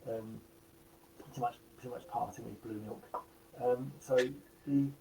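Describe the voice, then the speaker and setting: A man with a croaky voice, a guest actor talking in a casual live chat, halting with many restarts. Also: mid-range voice, fairly even intonation, poor audio.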